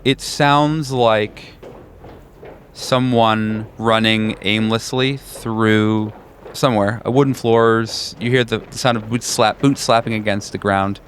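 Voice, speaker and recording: A man running a tabletop horror game, narrating scenes in a deliberate, descriptive way. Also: lowish voice, fairly even intonation, a bit bright, a little hiss or hum. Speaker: game master.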